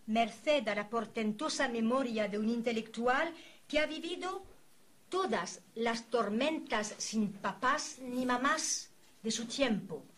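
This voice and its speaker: A woman interviewer delivering a formal, literary introduction in solemn, florid, deliberate phrases. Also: high-pitched. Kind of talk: formal, literary scripted introduction with brief asides. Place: university event recorded for a filmed programme. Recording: clear background noise, room ambience.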